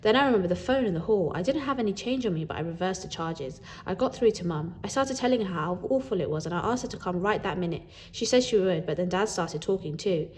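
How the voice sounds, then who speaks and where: A woman reading a children's book aloud in an animated way, as a storyteller who acts out the dialogue. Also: on the low side, quick pace, hardly any pauses, slight background noise.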